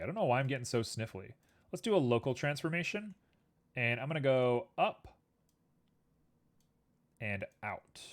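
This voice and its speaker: A young man talks in a loose, rambling way while he works on a 3D model on a live stream. His voice sits at a middle pitch, and he keeps up an easygoing running commentary, speaking both to his viewers and to the objects on screen.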